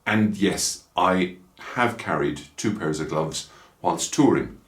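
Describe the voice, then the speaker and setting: A middle-aged Irish man in his fifties, chatting casually as he reviews motorcycle gloves at home, rambling with dry asides. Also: deep voice. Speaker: motorcycle vlogger. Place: small room at home, indoors. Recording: echoey small room.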